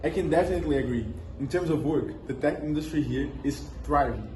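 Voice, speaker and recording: A man's voice: fairly high pitch, fairly quick, a little mellow. A young man answering interview questions in a scripted school role-play, reading memorized lines evenly. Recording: echoey room with background noise.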